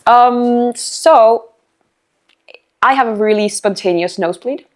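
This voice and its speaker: A young woman, an artist guest talking in a casual interview, bubbly and quick to giggle. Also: fairly high pitch. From Finland. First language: Finnish.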